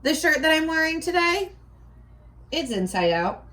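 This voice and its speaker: High-voiced, playful woman teaching young children. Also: a little slow. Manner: animated, playful, exaggerated teacherly delivery; asks questions and answers imagined replies. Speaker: teacher hosting a read-aloud for children. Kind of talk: children's read-aloud lesson, talking directly to young viewers.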